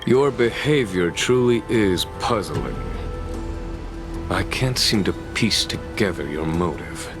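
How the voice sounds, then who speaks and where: Deep-voiced, shrewd general. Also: male voice, lively intonation, slow, over music. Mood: probing, composed. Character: calm, shrewd, authoritative male general interrogating a suspect. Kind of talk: video game voice acting, scripted dramatic dialogue.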